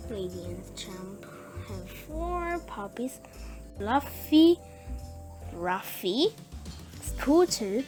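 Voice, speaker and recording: A woman reading a children's bedtime story aloud in short, halting phrases, word by word. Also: mid-range voice, very animated. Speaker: story reader. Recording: music playing behind the voice. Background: music.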